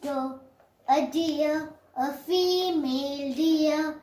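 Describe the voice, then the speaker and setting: Woman doing a kiddie puppet pupil, sulky but eager, really high n super slow, echoey. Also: darkish tone. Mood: eager, reciting. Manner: very slow, childlike, singsong. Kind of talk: children's puppet show dialogue. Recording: echoey room.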